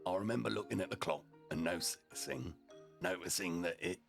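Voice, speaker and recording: A male storyteller with a very deep voice and heavy creak reads a comic first-person tale. His phrasing is deliberate, broken by profane asides. Soft music sits behind him. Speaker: storyteller, streamer narrating in character as a deputy. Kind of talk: humorous story reading, dramatic first-person.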